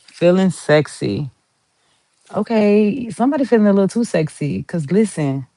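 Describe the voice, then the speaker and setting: A woman's voice: medium pitch, expressive, moderate pace, dark tone, no echo. A woman with a Southern US accent giving a chatty, rambling tarot reading, playful and talkative as she pulls cards. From Southern United States. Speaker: tarot reader.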